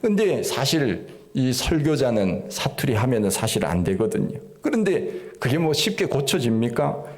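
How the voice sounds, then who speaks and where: A middle-aged man from Gangwon Province preaching from the pulpit, his voice at an ordinary male pitch. He carries a slight Gangwon accent and tells stories in a homely, folksy way, pushing up to emphatic peaks on key points. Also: very animated, moderate pace, few pauses, darkish tone. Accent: Gangwon Korean. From Gangwon Province, Korea. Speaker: pastor. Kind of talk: sermon.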